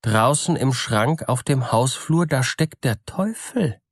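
A man voicing a sly, smooth-talking peasant trickster in a fairy tale, measured, knowing and teasing. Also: medium pitch, animated, dry recording, clean studio sound, voice pushed up.

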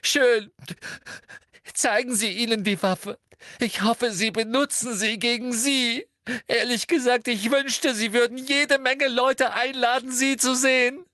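A man voicing a tearful, desperate teenage schoolgirl with a choked, shrill, sniffling voice in an audiobook. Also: expressive, fairly bright tone, dry recording, studio quality, exaggerated voice. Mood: shrill, defiant. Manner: sobbing, halting.

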